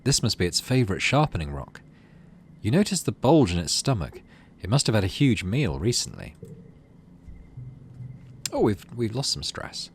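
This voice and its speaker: A man's voice: on the low side, very animated, normal speed, long pauses, a little hiss or hum. A man doing let's play commentary on a game, reading narration aloud and thinking through choices in tentative asides. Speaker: gaming video host.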